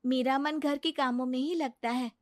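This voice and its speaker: A woman voicing a gentle, contented young housewife in a cartoon story, speaking softly and politely. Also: high voice, steady tone, moderate pace, dry recording, studio quality, close to an everyday voice. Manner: soft, polite, sweet.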